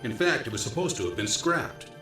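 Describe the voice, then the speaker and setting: A man playing a diligent special-ops investigator, explaining findings in a measured briefing tone in a video game. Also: mid-range voice, expressive, bright, forceful tone, reverberant, faint music behind. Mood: informative. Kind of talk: English dub acting in a video game cutscene.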